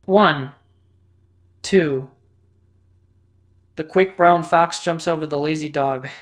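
A man's voice: on the high side, slow pace. A synthetic male voice reading a short text sample.

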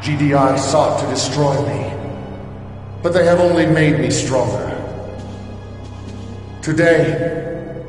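A commanding cult leader. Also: male voice, a little high, takes it slowly, long pauses, darkish tone, very echoey, big-room sound, recorded from a distance, soft background music. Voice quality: commanding, preaching cadence. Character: charismatic bald male cult leader, commanding. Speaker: cult leader addressing followers. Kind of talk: video game cutscene acting.

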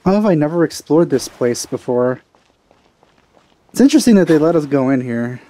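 A man's voice: fairly high pitch, animated, moderate pace, mellow, no echo, poor audio. A man giving casual commentary as he plays a video game. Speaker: let's player. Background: game music.

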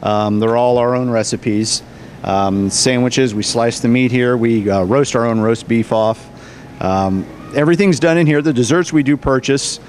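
A man's voice: mid-range voice, normal speed, darkish tone. An American man in his forties, a cafe owner explaining his business casually in an interview. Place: indoors in a cafe, behind the counter. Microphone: interviewer's handheld microphone. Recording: clear background noise on location.

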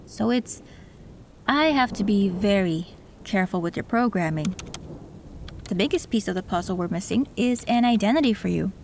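A woman voicing a female cyberpunk tech who works on an android, calm and matter-of-fact, in an audio roleplay. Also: mid-range voice, moderate pace, gravelly, a little hiss or hum.

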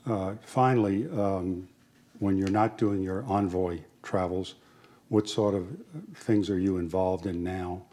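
A man moderating an interview, asking questions in a deliberate, polite way with many pauses. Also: low-pitched, fairly even intonation, mellow. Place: university panel event room.

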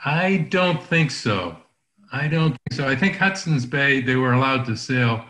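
A man presents his favorite map over a video call, hesitant and full of fillers. His voice is bright and pushed forward, and the room behind him echoes. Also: medium pitch, lively intonation, unhurried. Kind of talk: online lecture. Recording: roomy echo on call audio.

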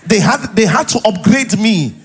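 Male pastor, middle-aged, Nigerian accent. High-pitched, husky delivery, conversational sermon with repeated rhetorical questions, headset mic on stage. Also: lively intonation, normal speed, soft background music. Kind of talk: sermon to a live congregation. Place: large church auditorium, on stage.

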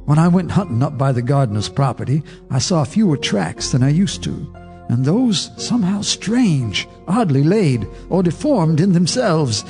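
Old farmer telling the story, slow folksy tone, reverby room, faint music under. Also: male voice, on the high side, very animated, a little mellow. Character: older male farmer narrating his memories. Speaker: narrator. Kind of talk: radio drama narration. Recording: reverberant radio drama with music.

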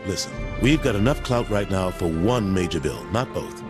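An actor playing a pragmatic, pushy political ally in a video game, businesslike and urgent. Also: male voice, deep voice, lively intonation, normal speed. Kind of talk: video game cutscene acting. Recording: game music behind voice.

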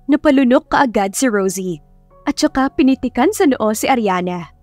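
A woman's voice: on the high side, expressive, normal speed, darkish tone, no room sound, soft background music. A woman reading a scripted romance story as an audiobook narrator.

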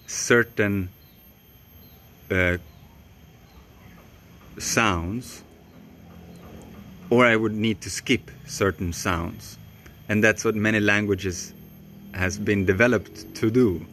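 A young man whose first language is Swedish talks straight into a camera held at arm's length, teaching outdoors in a tropical garden. His pace is very slow and unhurried, with long, deliberate pauses. His voice sits a little low and stays calm and even. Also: slight background noise. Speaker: voice coach.

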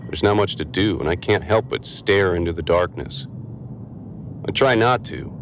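A deep-voiced, weary space-dock worker. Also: male voice, noisy background. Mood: bleak, reflective. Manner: reflective, halting, confessional. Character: weary, disillusioned male space-dock worker confessing, haunted. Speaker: voice actor. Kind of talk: scripted audio drama monologue. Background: music behind the voice.

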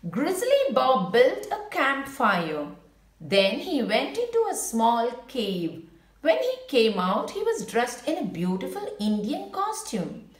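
Casting: female storybook reader for children, very animated intonation, unhurried pace, roomy echoing sound. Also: sits high. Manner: expressive, unhurried picture-book delivery. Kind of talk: read-aloud storytelling for children with brief asides.

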